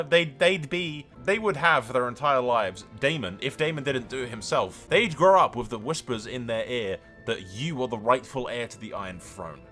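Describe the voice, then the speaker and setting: A man's voice: mid-range voice, a bit bright. A man hosting gameplay commentary with roleplay, sweary and emphatic, rambling and given to theatrical declarations. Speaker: gaming content creator and host.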